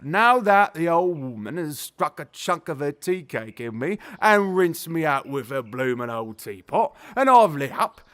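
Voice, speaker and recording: A man's voice: a little high, fairly bright tone. Guy doing an old zookeeper in an audio drama, grumbly but friendly, rambly blunt storyteller. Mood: mollified, wry. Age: older. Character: grumpy but genial elderly working-class English zookeeper. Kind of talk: audio drama character acting.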